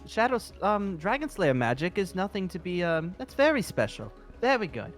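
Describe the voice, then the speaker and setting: A man's voice: high voice, very animated, moderate pace, faint music behind. A man playing a polite, flustered, centuries-old dragon slayer guild leader in an improvised fantasy roleplay, hesitant and apologetic. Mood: curious.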